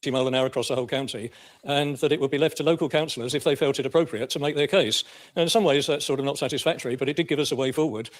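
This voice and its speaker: A man's voice: mid-range voice, racing. An English man speaking formally as a councillor in a debate, in a matter-of-fact way. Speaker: county councillor.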